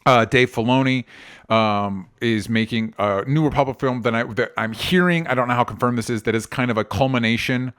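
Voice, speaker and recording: A man's voice: medium pitch, fairly quick, a bit bright, clean tone. A man with an American accent chatting casually and informally about entertainment news as a podcast co-host, often qualifying what he says. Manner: informal, hedging explanations.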